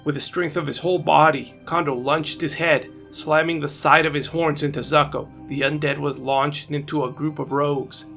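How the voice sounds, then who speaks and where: Male narrator for a fantasy audio story, creaky edge, dramatic scripted read over light music. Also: a little high, flat delivery, moderate pace, few pauses, a bit bright. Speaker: author and narrator.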